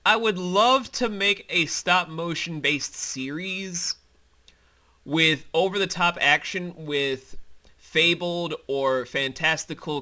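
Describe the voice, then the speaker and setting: Male director-host, higher register, sharp and very bright tone, excitable casual commentary. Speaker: host and director of a fantasy audio series. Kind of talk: casual behind-the-scenes commentary.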